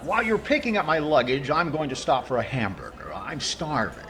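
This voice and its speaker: An actor playing a vain, stingy middle-aged comedian in old radio comedy style, with deliberate pauses and indignant questions. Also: male voice, on the high side, big pitch swings, bright, forceful tone, exaggerated voice. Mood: fussy, patient. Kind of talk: 1950s radio comedy acting. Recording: live crowd, noisy audio with clicks.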